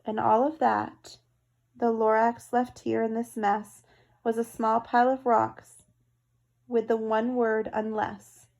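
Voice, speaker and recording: A woman's voice: fairly high pitch, level tone, slow, creaky, darkish tone. A woman reading a rhyming children's story aloud, expressively, as a storyteller. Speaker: storybook reader.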